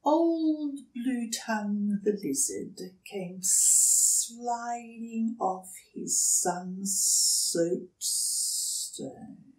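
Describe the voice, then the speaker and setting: An older woman reading a children's story aloud, deliberate, dramatic and expressive, in a living room. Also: a little high, roomy sound, distant mic, low-quality recording. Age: older, 60s or above. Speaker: storybook reader. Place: indoors, furnished living room.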